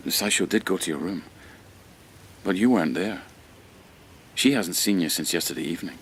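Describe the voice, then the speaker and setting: A low-voiced lieutenant, calm but pressing with questions, radio drama, bit of noise behind. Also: male voice. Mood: probing, insistent. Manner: measured, insistent questioning. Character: steady, probing male lieutenant of the imperial court. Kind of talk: low-key audio drama acting.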